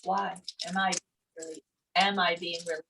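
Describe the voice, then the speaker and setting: A man's voice: high voice, little pitch movement, normal speed, gravelly, bright, forceful tone. A man informally coaching someone on how to deliver a script line over a video call. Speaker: table read participant coaching line delivery. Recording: distant mic, faint noise.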